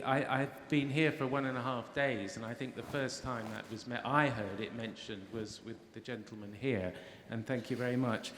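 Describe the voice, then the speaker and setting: A man's voice: mid-range voice, fairly bright tone, a little hiss or hum. A man from West Wales in the audience, putting a question to a panel with growing passion. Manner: impassioned, with rising outbursts. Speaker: audience member asking a question. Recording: roomy hall with faint ambience.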